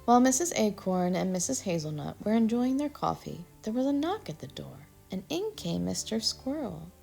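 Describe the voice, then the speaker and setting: A woman's voice: medium pitch, sing-song, moderate pace, vocal fry, mellow, faint music behind. A woman from Texas, reading a children's story aloud expressively. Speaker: storybook reader. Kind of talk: children's read-aloud narration.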